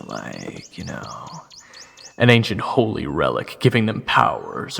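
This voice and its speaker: A man playing a cocky, grumbling young scout and would-be hero, sarcastic and excitable, in an audio drama. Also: medium pitch, gravelly, heavily acted. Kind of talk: audio roleplay drama acting with narration. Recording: long reverb, music under the voice.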